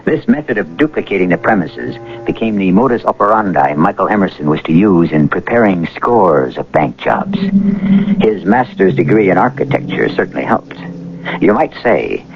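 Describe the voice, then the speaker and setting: A low-voiced man narrates a suspense series as its host. His delivery is portentous and deliberate with lively inflection, on a muffled old radio broadcast. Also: slight background noise.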